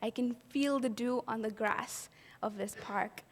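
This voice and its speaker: An earnest woman from Pakistan tells her own story to an audience at an event and poses rhetorical questions along the way. Her voice sits somewhat high. A long reverb from the hall trails after her words. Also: unhurried. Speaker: event speaker. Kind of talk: personal storytelling talk.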